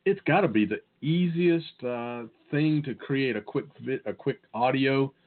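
The audio is narrow and phone-like. A man with a Southern US accent talks in a casual, conversational way as a podcast co-host. His pitch sits in an ordinary male middle range. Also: measured pace. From Southern United States. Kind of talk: casual tech tips chat.

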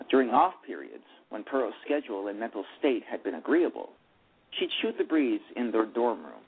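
A man's voice: medium pitch, husky. A man narrating a story, reading aloud and often stumbling over and repeating words. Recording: tinny phone line with faint music.

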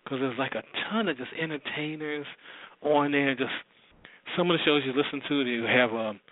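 A man's voice: medium pitch, moderate pace. An American man hosting a radio show, introducing old programs in a casual, rambling, conversational way. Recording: roomy, phone-like narrow sound.

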